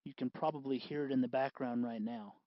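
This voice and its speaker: A man's voice: mid-range voice, fairly even intonation, a little fast, dull audio. A man hosting an informal hands-on electronics tutorial, explaining step by step. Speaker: tutorial host.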